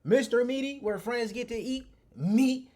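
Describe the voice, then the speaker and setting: A young American man with a high voice chats as a reaction host. His talk is informal and full of slang. There is faint noise in the recording. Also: measured pace, darkish tone. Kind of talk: casual slangy reaction chat.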